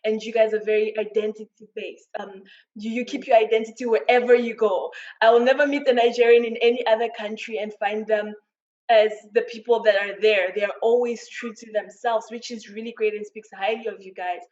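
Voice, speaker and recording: A woman hosting a casual panel talk, her voice slightly high. She asks long questions in a warm, admiring way. The sound is a bit dull and muffled. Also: flat delivery, a little fast. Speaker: host.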